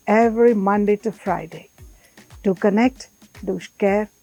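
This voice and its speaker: Nigerian woman, promo read, warm sing-song manner, mellow dark tone, faint music behind. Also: mid-range voice, measured pace. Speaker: show promo presenter. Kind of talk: scripted promo narration.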